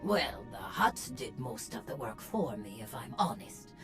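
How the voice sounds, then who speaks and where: An actress playing a bold, charismatic female gang leader in a video game, with a declamatory, deliberate, rallying delivery. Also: rumbling low, very animated, faint music behind, full character voice. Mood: cool, smooth. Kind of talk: theatrical video game speech.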